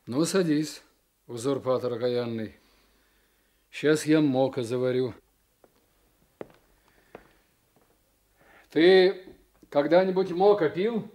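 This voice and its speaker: Man playing gruff, wry official in charge. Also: on the high side, a little mellow, lightly acted. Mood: gruff teasing. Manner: brisk questioning, dry authority. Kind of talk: radio play acting.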